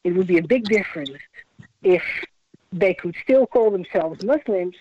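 A speaker in a radio interview, talking in a measured, halting way with many restarts. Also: mid-range voice, animated. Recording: muffled lo-fi radio audio.

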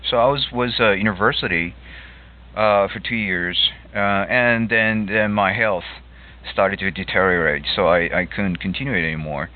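A middle-aged man from Japan, speaking English as a second language in a relaxed chat, with many fillers and restarts. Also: lowish voice, normal speed. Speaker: host. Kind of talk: casual conversation. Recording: phone-like narrow audio line.

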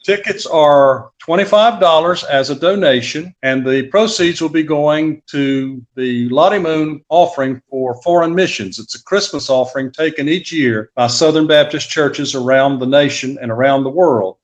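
A man with a somewhat high voice speaks as a pastor being interviewed. His talk is conversational, with courteous and slightly formal phrasing. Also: moderate pace, few pauses.

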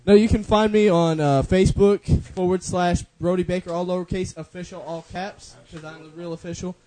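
A man excitedly plugging his page, stumbling and laughing between words. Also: high-pitched, a little fast.

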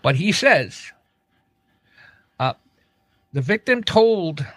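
A man's voice: high-pitched, sing-song, very slow, fairly bright tone, dry recording, studio quality. A man co-hosting a comedy podcast, reading odd news with sweary, teasing, casual banter.

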